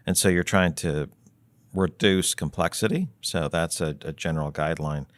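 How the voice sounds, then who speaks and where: A man explaining finance as a podcast guest, measured and thinking aloud with many fillers and restarts. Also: low-pitched, no echo. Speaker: treasury consultant guest.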